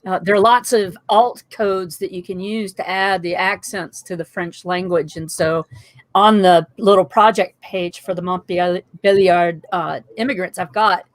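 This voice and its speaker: A woman's voice: lowish voice, fairly even intonation, measured pace, dry recording. A woman guest on a casual video chat who talks in a friendly, teasing way with some fillers.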